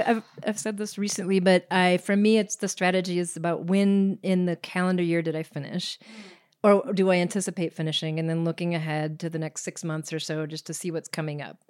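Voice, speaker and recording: Woman with slightly low voice, chatting candidly. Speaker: filmmaker, interview guest. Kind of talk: casual conversation.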